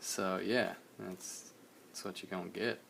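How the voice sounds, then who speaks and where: Deep, gravelly man, slightly hoarse. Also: unhurried, a little hiss or hum. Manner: relaxed, rambling, many fillers. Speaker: artist streaming a drawing session. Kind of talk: casual live-stream chat while drawing.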